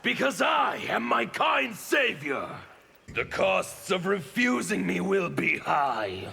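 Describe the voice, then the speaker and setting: An actor playing a burned anarchist revolutionary villain in a video game, gravelly and forceful, with menacing, theatrical declamation. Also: male voice, sits high, sing-song, slow, soft background music, heavily acted. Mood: defiant. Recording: long reverb, faint background noise.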